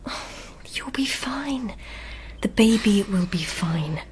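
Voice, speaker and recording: A woman playing a sensible, caring companion to a court lady in a radio drama, earnest and gently firm. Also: mid-range voice, lively intonation, slow pace, noisy background. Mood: reassuring.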